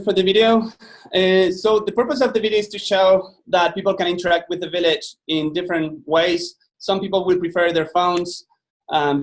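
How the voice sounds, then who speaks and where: A man with a Spanish accent presenting a software demo on a web call, relaxed, with many fillers and restarts. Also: sits high, low-quality recording. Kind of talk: webinar product demo.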